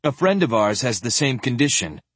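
A man acts a blunt male adventurer in a storybook tale, cool and laconic. The voice sits at a medium pitch with a husky edge and dry menace, very close and free of room sound. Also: sing-song, a little fast, a bit bright, dry recording. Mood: pressing.

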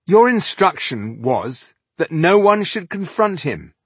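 A bold male warrior acted by a male narrator talks with proud, defiant spirit. His voice is raised high and loud with a husky edge, fit for a fantasy audiobook. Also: big pitch swings, slow, no room sound, exaggerated voice. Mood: indignant.

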